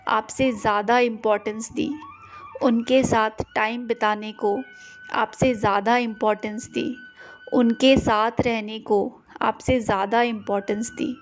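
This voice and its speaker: A woman leading a guided prayer meditation, speaking gently and repeating short phrases for the listener to echo. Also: fairly high pitch. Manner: slow, gentle, repetitive phrasing.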